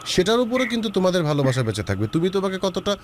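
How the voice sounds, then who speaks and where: Lively man giving love advice. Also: high voice, rapid-fire, slight background noise. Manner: lively, advising, mixes in English words. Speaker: relationship advice host. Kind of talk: advice talk with a caller.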